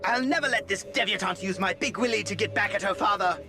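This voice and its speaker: Game villain role: male actor as scheming alien commander, very high voice, pompous, quick to rant, music underneath. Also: close to an everyday voice. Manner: pompous, theatrical, wordy. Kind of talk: video game cutscene acting.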